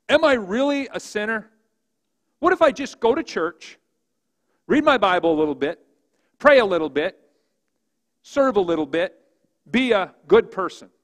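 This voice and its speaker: A man preaching a sermon as pastor, with a big voice that swings from loud emphasis to quiet, hushed reading. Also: high-pitched, expressive, normal speed, long pauses, big-room sound. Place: church sanctuary.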